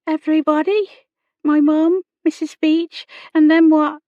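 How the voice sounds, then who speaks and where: Woman voicing a high-pitched, meek servant girl. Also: little pitch movement, measured pace, mellow, no room sound, lo-fi sound. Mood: ashamed, downcast. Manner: rustic, ungrammatical, hesitant speech. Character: timid, uneducated servant girl, meek and self-doubting. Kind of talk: audiobook character dialogue.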